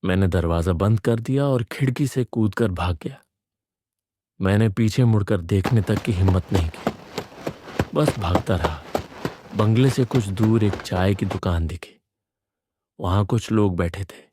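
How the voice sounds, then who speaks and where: A man narrating a scripted first-person horror story in a measured, suspenseful way. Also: lowish voice, background noise.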